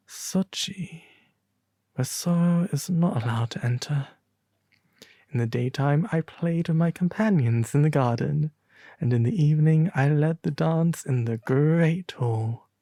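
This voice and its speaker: A man voices a gentle, sorrowful statue of a prince in a storybook tale. He speaks slowly and haltingly, with a mellow tone fading into breath. The sound is intimate and dry. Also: medium pitch, no room sound. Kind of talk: soft storybook character acting.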